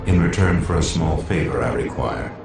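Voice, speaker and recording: An actor playing a calm robotic villain avatar in a video game, measured and coolly taunting, with a deep gravelly voice. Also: male voice, echoey room, music in the background. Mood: cold, taunting.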